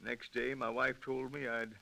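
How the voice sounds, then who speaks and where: An actor playing a kindly, plucky old blind gas station owner in an old-time radio drama, with folksy, hesitant speech. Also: male voice, mid-range voice, little pitch movement, unhurried, creaky, pushed and bright, full character voice. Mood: patient, friendly. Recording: noisy, clicking old radio drama recording.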